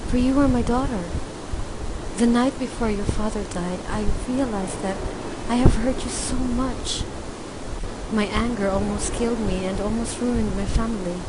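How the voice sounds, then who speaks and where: A woman acts out a grieving mother in a low-budget radio play. She speaks emotionally and pleadingly in a slightly high voice. A loud hiss sits behind everything she says. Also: moderate pace, natural voice. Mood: remorseful. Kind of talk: student radio drama acting. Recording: loud hiss, amateur recording.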